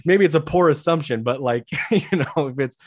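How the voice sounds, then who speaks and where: A man chatting casually as a podcast guest, relaxed, with lots of "like" and trailing, quiet endings. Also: fairly high pitch, brisk, no echo, studio quality. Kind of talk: casual chat.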